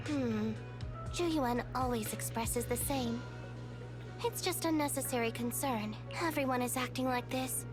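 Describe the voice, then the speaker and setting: A woman voicing a wise, detached police investigation android in a video game, speaking in a measured, formal, matter-of-fact way. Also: on the high side, music in the background, lightly acted. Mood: detached, philosophical.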